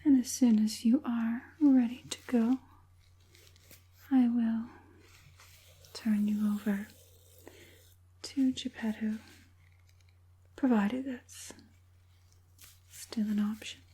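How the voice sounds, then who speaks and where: A woman playing a sinister, coaxing witch puppet master in a whispered ASMR roleplay, speaking softly and deliberately in a breathy whisper. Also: fairly high pitch, fairly even intonation, full character voice. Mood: calm, scheming. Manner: slow, soothing, deliberate, menacingly sweet.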